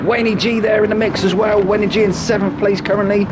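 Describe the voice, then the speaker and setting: A man's voice: little pitch movement, brisk. A man with a snuffly hay fever voice, commentating a sim racing league in a chatty way, full of tag questions. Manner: chatty, asks tag questions, drops low for asides. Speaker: race commentator. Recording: loud game engine noise behind voice.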